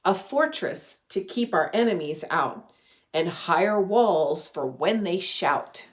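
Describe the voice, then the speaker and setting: A woman in her thirties reading a children's storybook aloud with gentle, deliberate phrasing. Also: on the low side, expressive. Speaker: religious education director reading a children's story. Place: living room at home.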